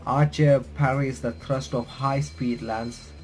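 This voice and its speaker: A man hosts a game playthrough, reading narrative text aloud and dropping in casual comments. His pitch is average for a man and his reading is halting, with stumbles and restarts. Faint game music plays behind him. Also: fairly even intonation, a little mellow, low-quality recording. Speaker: playthrough host reading and reacting to a visual novel.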